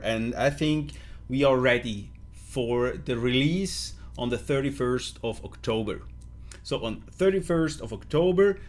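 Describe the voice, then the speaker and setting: A middle-aged man with a Swiss German accent runs a casual live stream in a loose, chatty manner. He flips between English and German and keeps stopping to fill gaps and correct himself. His pitch sits at an ordinary middle height. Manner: loose and chatty, with frequent fillers and self-corrections. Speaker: host of a product live stream.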